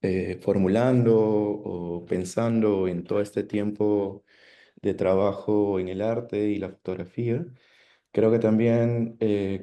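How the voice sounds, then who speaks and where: A man's voice: fairly low pitch, warm tone. A man from the Amazon, presenting his art practice on a video call in a thoughtful, hesitant way. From Peru, Amazon region. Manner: thoughtful, hesitant, many pauses and tag questions. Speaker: guest artist. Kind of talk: panel presentation.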